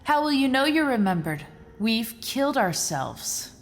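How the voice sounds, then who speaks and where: Slow, despairing woman astronaut. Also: fairly high pitch, very animated. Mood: bitter, resigned. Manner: weary, bitter, dramatic. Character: despairing, trapped woman neuroscientist on Mars mission. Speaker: actor in a musical audio drama. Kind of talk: audio drama acting.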